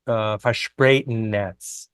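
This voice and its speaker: A man with a lowish voice talks very slowly as an interview guest. He is a non-native speaker, reflective and deliberate, pausing between short phrases. The sound is dry and free of room echo. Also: fairly even intonation, clear, resonant tone. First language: not a native speaker of Yiddish. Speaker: guest, poet and author.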